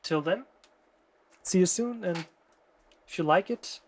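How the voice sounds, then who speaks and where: A native German speaker with a German accent walks through a production project at an unhurried pace. The delivery is hesitant and halting, with frequent fillers and short pauses. The voice sits in an ordinary middle pitch, and the tone is relaxed and self-deprecating. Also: breathy edge. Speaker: music producer. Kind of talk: screen-recorded production walkthrough.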